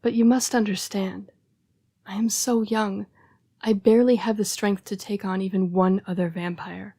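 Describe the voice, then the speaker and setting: A woman playing a remorseful, gentle young vampire in an intimate roleplay audio, speaking in a breathy, halting hush. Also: medium pitch, fairly even intonation, natural voice. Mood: earnest, apologetic. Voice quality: breathy, whispery. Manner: hushed, halting, earnest and apologetic. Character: remorseful, gentle young female vampire, protective, fighting bloodlust. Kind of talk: roleplay audio acting, intimate dramatic monologue.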